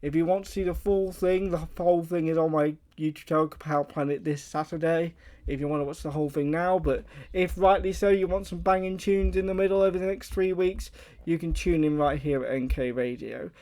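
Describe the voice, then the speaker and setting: A man's voice: fairly high pitch, steady tone, brisk, a little mellow. Upbeat male radio host. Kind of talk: presenter introducing a guest.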